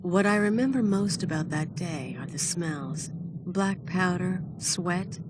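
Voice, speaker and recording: An actress with an American accent playing a determined, dry-witted adventurer heroine in a video game, plain-spoken and resolute. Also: female voice, fairly low pitch, takes it slowly, background noise. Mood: reflective, wry. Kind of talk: dramatic video game voice acting and narration.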